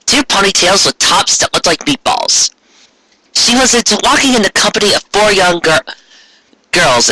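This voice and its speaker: A man's voice: fairly high pitch, pushed and bright, low-quality recording. A man narrating amateur fan fiction aloud in a dramatic, comic reading style.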